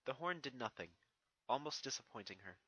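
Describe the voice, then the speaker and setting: A man's voice: medium pitch, animated, fairly quick, sharp tone, slightly rough, dry recording. A man reading a scripted story as its narrator. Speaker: fan fiction narrator.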